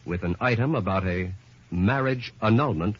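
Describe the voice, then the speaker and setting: A man's voice: on the low side, normal speed, breathy edge. A man announcing and narrating an old-time radio drama with dramatic, emphatic scripted delivery. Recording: dull, muffled old radio recording.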